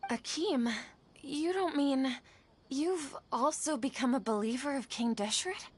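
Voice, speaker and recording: A woman's voice: high voice, unhurried, darkish tone, slight background noise. An actress playing an earnest, anxious young female scholar who is easily flustered, in an anime game. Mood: puzzled, uneasy.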